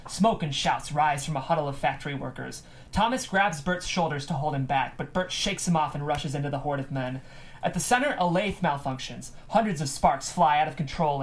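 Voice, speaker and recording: A woman reading stage directions as scripted narration at a table read. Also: low-pitched.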